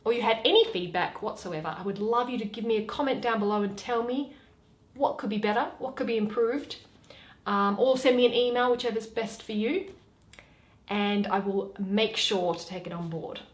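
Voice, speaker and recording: A woman's voice: mid-range voice, moderate pace. A woman hosting a reading channel, speaking directly to her listeners in a friendly, informal way. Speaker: channel host and play reader. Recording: roomy, echoey home recording.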